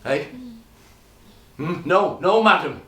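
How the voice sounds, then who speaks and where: A bawdy pantomime dame. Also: male voice, fairly high pitch, animated, slow, pushed and bright, exaggerated voice. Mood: boastful teasing. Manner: flirty, cheeky, teasing the audience. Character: bawdy brash pantomime dame, ugly sister. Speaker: stage performer. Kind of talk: pantomime stage comedy. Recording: roomy and distant.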